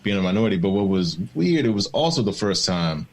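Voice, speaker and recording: A man born in the Bahamas and raised in Maryland, a TV showrunner speaking as a guest, telling personal stories in a candid panel conversation, reflective and quick to laugh, over a video call. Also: deep voice, big pitch swings, slight background noise. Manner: reflective, storytelling, laughs easily.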